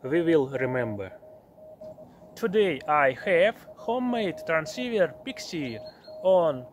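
Male vlogger, thirties, slow halting delivery, medium pitch, faint outdoor noise. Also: sing-song. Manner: halting, short plain phrases. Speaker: amateur radio operator presenting his field outing. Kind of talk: simple scripted vlog narration. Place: outdoors in a snowy forest.

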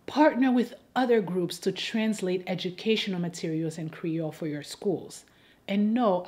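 A woman from Haiti speaks as the host of an advocacy video, in a mid-range voice. Her delivery is scripted and persuasive. She makes her points deliberately, with a wry, rhetorical edge. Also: medium pitch, darkish tone. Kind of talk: scripted persuasive commentary addressed to camera.

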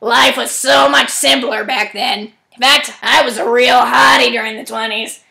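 A woman's voice: a little high, steady tone, measured pace, creaky, sharp tone, full character voice. A woman voicing a crabby old villainess who scolds in a strained, forced voice for an amateur cartoon dub audition. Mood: grumpy, scolding. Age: older. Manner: cranky, scolding, exclamatory. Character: crabby old woman villain grumbling about girls these days.

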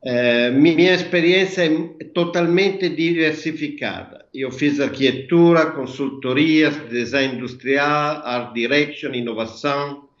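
Slow Italian-accented man, echoey video call. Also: fairly high pitch, dull audio. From Italy. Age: 60s. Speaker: interview guest, architect and designer. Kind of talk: explanatory interview answers. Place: indoor workroom, remote video call.

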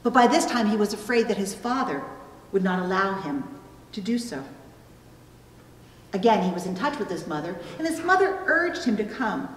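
A middle-aged woman pastor preaching a sermon, phrasing deliberately and pausing for emphasis. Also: mid-range voice, sing-song. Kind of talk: sermon with illustrative stories. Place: church pulpit indoors, recorded from a distance. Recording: echoey hall, long reverb.

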